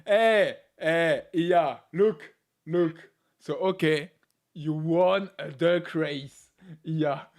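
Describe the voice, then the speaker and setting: A man hosting a live stream, chatting casually in English with a non-native accent, laughing often and filling pauses with "uh". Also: on the high side, creaky. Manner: laughs often, talks with many "uh" fillers. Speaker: livestream host.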